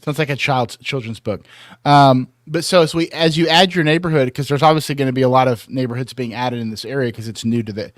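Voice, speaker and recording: A man's voice: medium pitch, fast, no room sound, studio quality. A man hosting a talk show, interviewing guests in a casual, conversational way. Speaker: podcast host.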